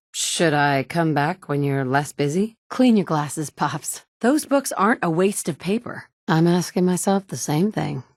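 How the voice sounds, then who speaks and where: A woman's voice: fairly low pitch, darkish tone, no echo, natural voice. An actress playing a determined young mother searching for her kidnapped son in a video game, speaking in a dry, blunt, sometimes sarcastic way. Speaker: player character. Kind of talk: video game dialogue lines.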